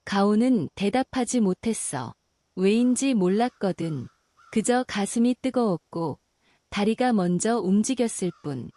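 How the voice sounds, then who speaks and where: Female storyteller for a bedtime story, mellow and soothing, melodic delivery, dry close sound. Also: medium pitch, lively intonation, dry recording. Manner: slow, soothing and gentle. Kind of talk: calm bedtime story narration.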